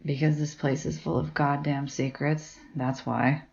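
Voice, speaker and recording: A woman playing a blunt, bossy librarian in an audio drama, sarcastic and gruff in how she talks. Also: low-pitched, flat delivery, unhurried, darkish tone, muffled recording. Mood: impatient, pushy.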